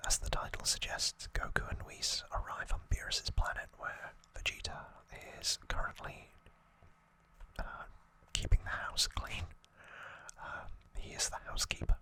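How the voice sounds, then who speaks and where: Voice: unhurried, sharp tone, rough recording. An ASMR host whispering an unscripted story recap in a hesitant, slightly wry way.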